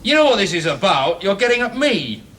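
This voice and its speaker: An actor playing a self-assured, paternal research boss, coaxing and persuasive in 1970s television drama style. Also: male voice, high-pitched, big pitch swings, fairly quick, fairly bright tone. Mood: teasing, persuasive. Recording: faintly hissy old television soundtrack.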